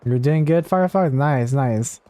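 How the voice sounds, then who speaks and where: A man's voice: mid-range voice, measured pace, warm tone, no room sound. A man streaming a video game, chatting casually with viewers as he comments on what he plays. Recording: game music behind the voice. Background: video game music.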